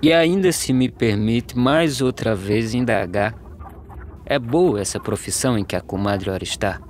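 A man playing a poor, weary migrant farm labourer, speaking measured verse in an animated film. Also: mid-range voice, a little hiss or hum, lightly acted. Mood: polite, inquiring. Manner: measured, declaimed rhyming delivery. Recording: music behind the voice.

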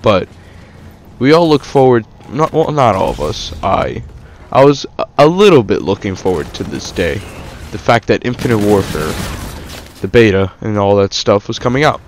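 A man's voice: lowish voice, sing-song, moderate pace, slight background noise. A young man casually reviewing a shooter game over gameplay, rambling with many fillers and restarts. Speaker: gaming YouTuber.

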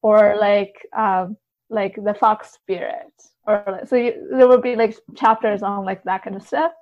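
A woman's voice: mid-range voice, steady tone, a little slow. A woman explaining things as a podcast guest in a casual, tentative way, often hedging and saying "like". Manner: tentative, hedging, peppers talk with "like". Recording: dull, slightly lo-fi audio.

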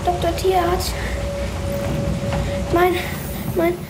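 A frantic female pet owner pleads in an audio drama. Her voice is extremely high and urgent, stammering in drawn-out phrases over music. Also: completely flat delivery, exaggerated voice, rough recording. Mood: panicked. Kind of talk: German audio drama acting.

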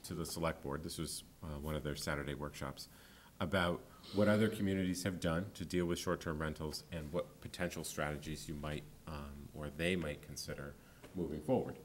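A man in his forties, a town planning official, answering a board's questions at a public meeting, often pausing with ums and restarting sentences. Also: a bass voice, steady tone, a little hiss or hum. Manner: hesitant, many ums and restarts. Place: town hall meeting room. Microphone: desk microphone.

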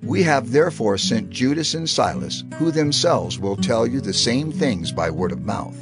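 Man acting earnest elder of the early church, audio Bible drama, lively intonation, declamatory, measured, music underneath. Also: medium pitch, natural voice. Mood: formal, earnest. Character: earnest male Jerusalem church elder, solemn and authoritative. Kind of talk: dramatized scripture reading.

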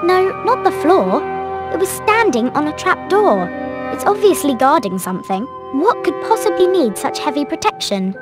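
A bookish British schoolgirl talks in a high, very lively voice. She is brisk, bossy and exact, a game character who always knows best. Faint music plays behind her. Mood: exasperated. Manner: brisk, know-it-all, precise.

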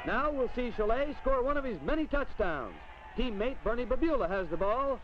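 An American man giving animated play-by-play commentary for a 1950s newsreel. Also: extremely high pitch, moderate pace. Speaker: sports commentator. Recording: dull, noisy vintage film soundtrack.

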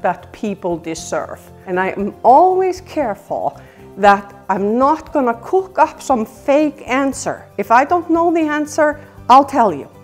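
A woman's voice: fairly high pitch, darkish tone. An older woman from Iceland with an Icelandic accent, earnestly introducing herself as a realtor to camera in a living room. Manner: earnest, measured, gesturing with both hands. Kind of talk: promotional self-introduction to camera. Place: indoor living room by a stone fireplace.

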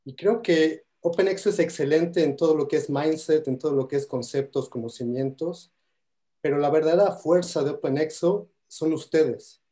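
A man in his thirties with a Mexican accent gives an earnest, heartfelt toast. He speaks into a headset on a video call. His voice is mellow and a little high. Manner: earnest, heartfelt, warm thanks. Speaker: guest giving a toast. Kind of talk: spoken toast at an online awards event. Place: home room on a video call.

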